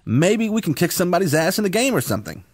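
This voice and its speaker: A man's voice: high voice, sing-song, quick pace, husky, dry recording, heavily acted. A man playing a self-important, griping young nerd who leads a gang of misfits in a comic radio drama. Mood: exasperated, scheming. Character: whiny, megalomaniac young male nerd gang leader.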